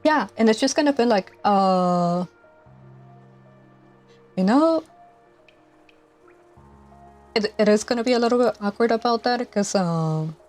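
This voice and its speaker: A young woman in her twenties chats casually on a live stream while she plays. She speaks softly and hesitantly, with many fillers. Her mic is clipped to her collar, and faint game music runs underneath. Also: a little high, lively intonation, moderate pace, long pauses. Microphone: lapel mic clipped to collar.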